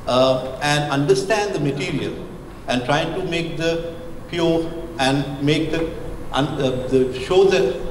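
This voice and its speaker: A middle-aged man from Sri Lanka with a Sri Lankan accent, giving an architecture lecture into a handheld mic, halting and full of fillers. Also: on the high side. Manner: hesitant, searching for words, frequent fillers. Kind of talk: presentation with slides. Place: lecture hall, indoors. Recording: echoey hall with background hum.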